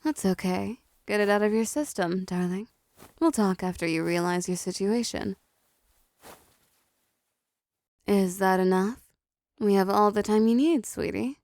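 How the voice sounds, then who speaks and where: A woman playing a seductive, possessive female demon in an intimate roleplay audio drama, talking slowly and teasingly to the listener with condescending endearments. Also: medium pitch, darkish tone, natural voice. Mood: condescending, controlling.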